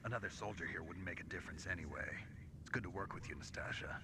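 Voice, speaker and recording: A man playing a gruff, stoic special-forces soldier in a video game, gravelly and terse. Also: low-pitched, fast talker, background noise. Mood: cool, terse. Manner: terse, cool.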